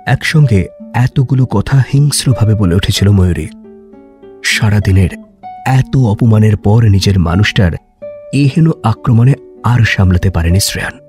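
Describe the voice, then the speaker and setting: A man narrating a scripted story dramatically, with emotive phrasing and pauses. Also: fairly low pitch, darkish tone, soft background music.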